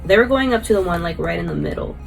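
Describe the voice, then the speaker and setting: A woman's voice: on the low side, fast, gravelly, soft background music. A young woman, stuffy and congested from a cold, chatting casually through a rambling vlog recap full of fillers. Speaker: vlogger and paintball player.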